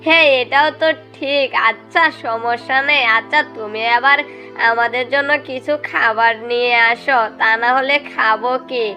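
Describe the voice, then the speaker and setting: A woman's voice: a little high, moderate pace, bright, forceful tone, faint music behind. A woman voicing a wistful ghost wife in a storybook cartoon, plaintive and beseeching in manner. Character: wistful, pleading ghost wife longing for a child.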